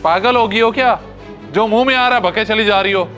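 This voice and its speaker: Guy playing stern hot-headed husband in a drama, blunt, highish voice, music behind. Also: brisk, fairly bright tone. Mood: angry. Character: hot-tempered, stern husband with a protective streak. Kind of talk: acted moral-story drama dialogue.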